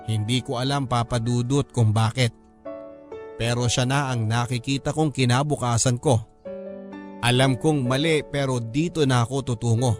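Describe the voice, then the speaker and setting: A man's voice: mid-range voice, steady tone, moderate pace. A man narrating a scripted first-person story as a radio drama host. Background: prominent background music.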